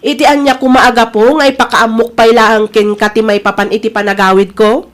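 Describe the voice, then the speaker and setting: A woman's voice: fairly high pitch, level tone, normal speed, lightly acted. A woman with an Ilocano accent acting a resolute, self-respecting wife back from working abroad in a drama. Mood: hurt, firm.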